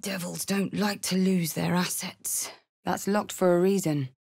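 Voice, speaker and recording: A woman's voice: fairly low pitch, steady tone, measured pace, vocal fry, a little mellow, breathy edge, full character voice. A woman voicing a bold, blunt, battle-hardened tiefling warrior for a fantasy video game, with dramatic delivery. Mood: wary, matter-of-fact.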